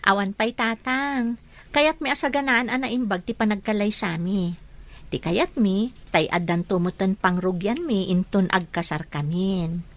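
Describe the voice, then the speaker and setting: A woman's voice: medium pitch, a little slow, natural voice. A young woman playing a polite, dutiful daughter in a radio drama.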